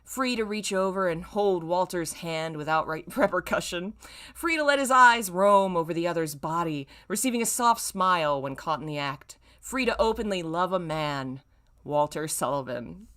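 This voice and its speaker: A woman's voice: lowish voice, animated, normal speed, a bit bright. A young adult woman hosting a read-aloud of fan fiction, delivered theatrically with playful, exasperated casual asides. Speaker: host reading old fan fiction aloud with commentary.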